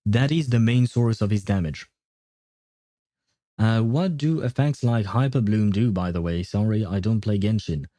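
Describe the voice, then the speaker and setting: Mellow-voiced male game streamer. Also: on the low side, normal speed, no room sound. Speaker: livestreamer. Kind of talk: casual gaming livestream chat, answering viewer questions.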